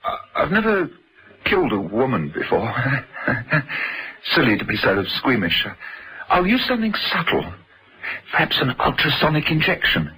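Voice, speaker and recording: An actor playing a menacing, fastidious assassin in a vintage radio drama, halting and relishing his words. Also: medium pitch, wildly swooping pitch, bright, forceful tone, slightly rough, exaggerated voice, rough recording. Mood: gloating menace. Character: menacing, squeamish-voiced male assassin who savours neat murders.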